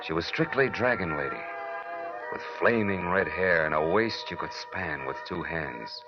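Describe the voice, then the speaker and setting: A very low-voiced hardboiled private eye, dry and wisecracking, in old radio noir style. The sound is muffled vintage broadcast. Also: male voice, expressive, a little slow. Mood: wry, tense narration. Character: wry, hardboiled male private detective narrating his case. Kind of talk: 1940s radio noir scripted narration and acting. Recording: dull lo-fi 1940s radio recording, music.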